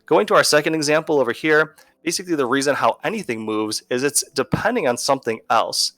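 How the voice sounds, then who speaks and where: A man's voice: medium pitch, lively intonation, quick pace, a bit bright, no echo, faint music behind. A man explains as a teacher in a video lesson, conversational and going step by step. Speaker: physics teacher.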